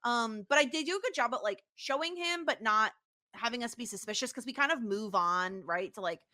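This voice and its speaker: A woman hosting a podcast, recapping a TV episode in casual chat and telling it in an animated storytelling way. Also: a little high, brisk. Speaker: podcast host.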